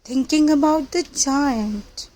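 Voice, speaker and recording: A woman reading a picture book aloud to young children, with simple deliberate phrasing and questions to the listeners. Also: high-pitched, a little mellow. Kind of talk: children's read-aloud storytelling.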